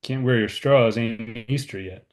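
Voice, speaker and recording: A man playing a stubborn, crude, deadpan oilfield roughneck in a script read, terse and grumbling. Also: medium pitch, a little slow, close to an everyday voice.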